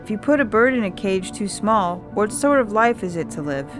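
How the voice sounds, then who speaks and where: A woman voicing a gentle, avoidant young man in an audio fiction story. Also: mid-range voice, very animated, normal speed, over music, voice pushed up, lightly acted. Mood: resigned, reassuring.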